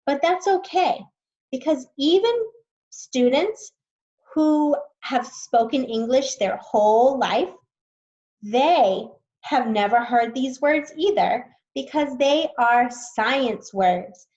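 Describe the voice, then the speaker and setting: A woman's voice: sits high, lively intonation, takes it slowly, a little mellow, lo-fi sound. A woman teacher reading a science text aloud to students, patiently explaining new words as she goes.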